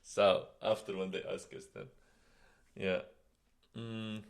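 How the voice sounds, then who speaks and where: A middle-aged man from Tunisia, co-hosting a podcast in halting full of fillers. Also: on the low side, fairly even intonation, creaky. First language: Tunisian Arabic. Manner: halting non-native English with fillers and laughs. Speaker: podcast co-host. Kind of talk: casual chat.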